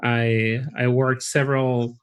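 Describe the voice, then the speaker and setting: A man with a Chilean Spanish accent, a college recruitment director, talking in a friendly, relaxed way with frequent restarts in a casual interview. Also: mid-range voice, slow, clear, resonant tone. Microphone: video call.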